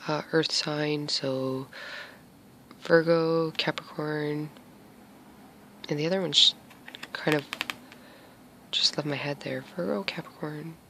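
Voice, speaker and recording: A man's voice: on the high side, fairly even intonation, slow pace, creaky, pauses often, sharp tone, slight background noise, low-quality recording. A man giving a casual tarot reading to his viewers. He talks hesitantly with many fillers and often drops to a whisper. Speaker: tarot reader.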